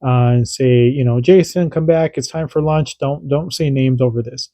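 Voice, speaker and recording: A man's voice: mid-range voice, moderate pace, dark tone, no echo. A man hosting a casual radio hobby tutorial, chatty and repetitive, doing radio checks as he demonstrates. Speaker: radio hobby channel host.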